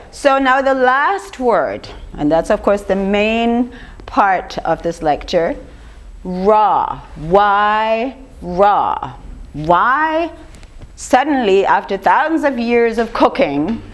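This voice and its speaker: An older woman with a slightly rough voice gives an informal lecture, talking conversationally and putting questions to her audience. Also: mid-range voice. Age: 50s or older. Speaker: speaker giving a lecture on raw food.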